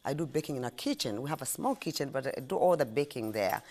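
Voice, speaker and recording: Middle-aged woman, Ugandan accent, low-pitched, highly animated intonation, chatty interview guest, repeats herself for emphasis. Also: fast. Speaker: interview guest, ice cream shop owner. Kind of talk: casual interview answers.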